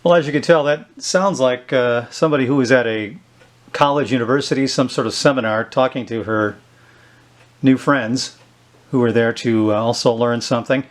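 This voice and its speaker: A man giving an unscripted, halting demonstration of equipment, thinking aloud as he handles it. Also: medium pitch, fairly even intonation. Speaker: host demonstrating a vintage tape recorder. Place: indoors at a tabletop.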